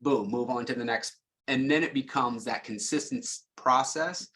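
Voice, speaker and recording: A man's voice: mid-range voice, normal speed, a bit bright. A man speaking as a sales leader on a panel, plain-spoken and conversational, explaining through acted-out examples.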